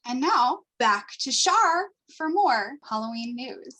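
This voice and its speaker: A woman's voice: sits high, sing-song, takes it slowly, pushed and bright, rough recording. A woman hosting an online club meeting, handing over between speakers on a video call. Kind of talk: friendly hosting and handovers.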